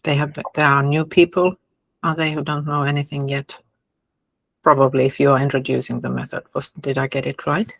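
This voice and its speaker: Podcast host, woman with a Finnish accent, gravelly voice, dry close sound. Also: extremely low pitch, flat delivery, fairly quick, dry recording, poor audio. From Finland. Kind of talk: casual interview chat.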